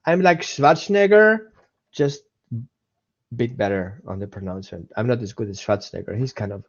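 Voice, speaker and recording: A man's voice: medium pitch, lively intonation, moderate pace, dull audio. A man with an Austrian accent hosting a casual live dog-training webinar, chatting with viewers, repeating himself and greeting people by name.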